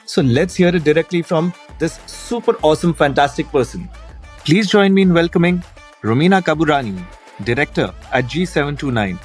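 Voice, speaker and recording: A man's voice: on the high side, darkish tone. A man hosting a podcast, reading a formal, enthusiastic prepared introduction and then asking long questions. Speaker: podcast host. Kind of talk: scripted podcast introduction and interview.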